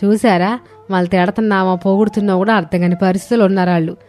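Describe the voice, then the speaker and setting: A woman's voice: medium pitch, fairly even intonation, rapid-fire, a little mellow, lightly acted. A woman voicing a bossy, exasperated older mother-in-law in a cartoon family story, scolding and weary. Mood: complaining. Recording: music under the voice.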